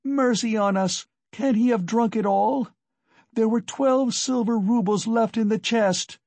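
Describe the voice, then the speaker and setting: Male narrator as frantic poor wife, high raised voice, accusing exclamations, despairing, audiobook drama. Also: measured pace, creaky, darkish tone, no room sound, exaggerated voice. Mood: outraged. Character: frenzied, despairing, impoverished wife and mother. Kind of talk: dramatic audiobook character acting.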